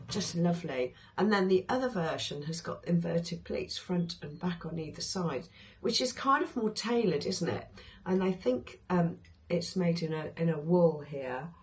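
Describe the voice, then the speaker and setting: Hoarse woman with a lowish voice. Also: darkish tone. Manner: chatty, with many ums and restarts. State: hoarse, losing her voice. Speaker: sewing vlogger. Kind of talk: casual chat reviewing sewing patterns.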